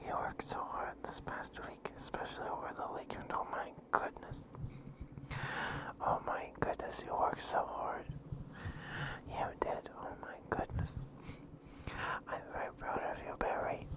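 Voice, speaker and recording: Man, whispered, slow, doting, repeats gentle praise, ASMR. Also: rough recording. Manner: soft, doting, repetitive praise. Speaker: streamer.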